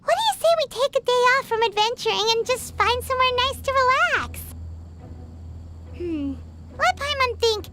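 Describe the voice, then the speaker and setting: A woman voicing a small, childlike, excitable fairy companion and guide in a video game, with a squeaky, perky delivery. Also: moderate pace, heavy background noise. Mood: chatty, cheerful. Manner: perky, refers to herself in third person. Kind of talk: animated video game voice acting. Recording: music behind the voice. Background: game music.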